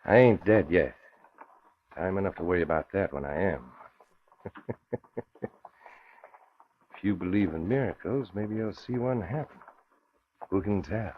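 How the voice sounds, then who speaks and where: An actor playing a cocky, sneering escaped convict in old radio crime drama style, with tough slangy talk and chuckles. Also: male voice, low-pitched, animated, slow pace, long pauses. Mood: defiant, wisecracking. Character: cocky hard-boiled escaped convict gangster, sneering and vengeful. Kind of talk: 1940s radio acting. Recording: muffled, hissy old radio recording.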